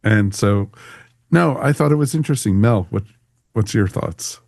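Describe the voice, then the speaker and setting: A man's voice: fairly low pitch, expressive, normal speed, creaky, a little mellow, no echo, studio quality. A man hosting a casual panel review chat, talking conversationally. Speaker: podcast host.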